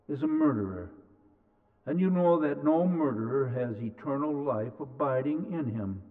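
A middle-aged man reads scripture slowly and deliberately from a pulpit. His voice is tinny and narrow, like a phone line, and a long reverb trails each phrase through the church sanctuary. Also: mid-range voice. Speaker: scripture reader. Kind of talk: slow scripture reading. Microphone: pulpit mic, far camera.

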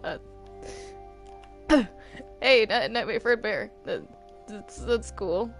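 A gamer chatting casually during a video game roleplay, excitable and stammering, often repeating words. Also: medium pitch, takes it slowly, vocal fry, soft background music. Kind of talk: casual gameplay chat during Minecraft roleplay.

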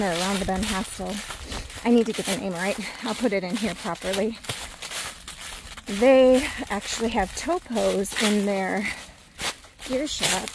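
A woman's voice: medium pitch, noisy background. A woman in her forties, filming herself on a phone at arm's length while hiking outdoors in mountain woods, talking in a chatty, upbeat way. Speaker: hiking vlogger. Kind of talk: casual trail vlog narration. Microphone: phone held at arm's length.

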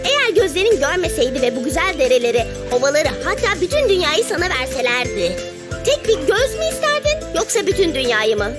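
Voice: high-pitched, sing-song, brisk, over music. A performer voicing a cheerful, wise little cartoon ladybug who teaches patiently with coaxing questions. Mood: coaxing, questioning. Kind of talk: children's cartoon dialogue.

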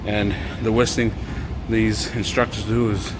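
A man's voice: lowish voice, little pitch movement, a little slow. Blunt middle-aged man, noisy gym. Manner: blunt, plainspoken, repeats himself. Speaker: gym vlogger. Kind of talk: casual advice vlog. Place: large indoor gym. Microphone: phone held at arm's length.

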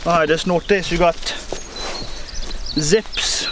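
Young guy chatting through a beekeeping suit review outside, upbeat, bit high-ish voice, birds and faint field noise behind. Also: fairly even intonation, slow, fairly bright tone. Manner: chatty, upbeat, thinking aloud. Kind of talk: casual product review vlog. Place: outdoors in a rural field by a truck. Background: birdsong and faint outdoor ambience.

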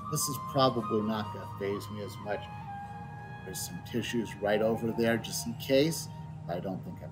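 A man's voice: medium pitch, rough recording. Rambling male game streamer over music. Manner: rambling, halting. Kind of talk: casual livestream chat and read-out.

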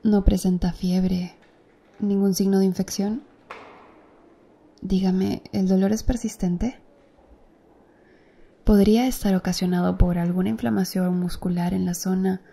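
A woman acting as a calm, attentive female doctor in an ASMR roleplay, speaking softly and close to the listener. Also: medium pitch, measured pace, creaky, long pauses, slightly rough. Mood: gentle. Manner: soft, close-up ASMR speech, gently addressing the listener.

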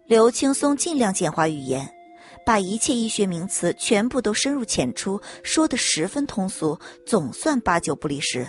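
Lively female audiobook narrator over music. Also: mid-range voice, moderate pace. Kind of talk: scripted dramatic story narration. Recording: music bed under narration.

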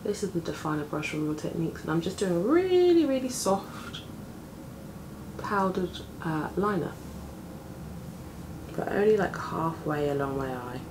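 A woman in her thirties with a deep morning voice, chatting casually as she does her makeup at home in front of a close camera. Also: a little slow, long pauses. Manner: chatty, relaxed, talks while applying makeup. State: morning voice, deeper than usual. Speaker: beauty vlogger. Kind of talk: casual makeup tutorial talk. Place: home flat, indoors, window open. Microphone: camera on a desk at close range. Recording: home room with outside noise. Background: plane and street noise through the open window.

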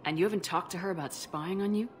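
An actress playing a firm, candid female military commander, direct and steady, in a video game. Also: fairly low pitch, quick pace, faint music behind, lightly acted. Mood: gently prodding.

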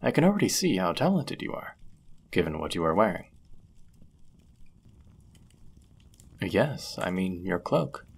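Low, husky, courteous medieval innkeeper played by a man. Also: sing-song, exaggerated voice. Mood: warm, admiring. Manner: formal, measured, old-fashioned diction. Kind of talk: roleplay audio drama acting.

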